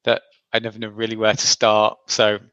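A man's voice: lowish voice, monotone, brisk, a bit bright, lo-fi sound. A man in his forties from the Isle of Man, answering interview questions casually in a rambling, self-deprecating way. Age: 40s. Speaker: guest.

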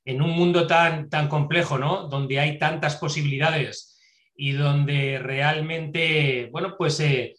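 Man hosting a podcast, slightly higher voice, hesitant with fillers, echoey room. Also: fairly even intonation, takes it slowly, a bit bright. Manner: hesitant, many fillers and tag questions. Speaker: podcast host. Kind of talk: casual podcast conversation.

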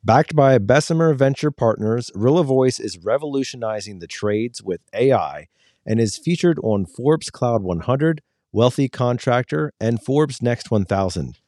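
A man's voice: medium pitch, lively intonation, unhurried, darkish tone, no echo. A man co-hosting a podcast, reading a guest introduction and trading dry jokes. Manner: dry joking, scripted-sounding intro. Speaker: podcast co-host.

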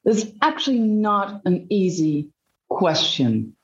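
A woman from Belgium with a Dutch accent, a journalist giving animated, emphatic answers as an interview guest over dull, lo-fi remote audio. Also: medium pitch. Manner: animated, emphatic storytelling with drawn-out phrases.

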